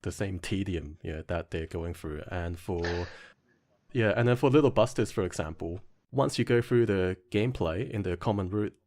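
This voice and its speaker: Low-voiced man, reflective podcast guest. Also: a little mellow. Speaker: podcast guest, game developer. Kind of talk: casual, reflective discussion.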